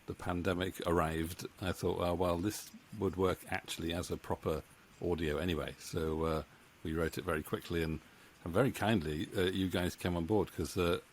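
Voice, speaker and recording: A man's voice: low voice, steady tone, normal speed, slight background noise. A middle-aged man hosting a casual interview, hesitant and rambling, speaking close to a microphone at home. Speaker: interview host and co-writer. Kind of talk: casual video-call interview. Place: book-lined home room. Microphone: studio microphone on boom arm, close.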